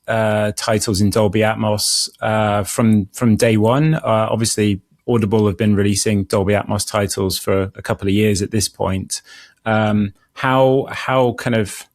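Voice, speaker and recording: A man's voice: on the low side, a little mellow, no echo, studio quality. A man hosting an interview, putting questions to guests in a conversational way.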